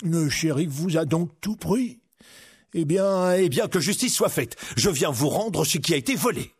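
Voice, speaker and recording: Kindly outlaw hero, resolute, encouraging, lively pitch, storybook, man acting. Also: unhurried, slightly rough, full character voice. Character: bold, kindly outlaw hero defending the oppressed.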